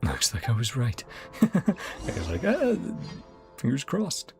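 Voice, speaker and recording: Very low-voiced woman gamer, gravelly. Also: huge pitch swings, takes it slowly. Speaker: gaming streamer. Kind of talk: casual let's-play commentary, reading on-screen text aloud. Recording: game music under the voice. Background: video game music.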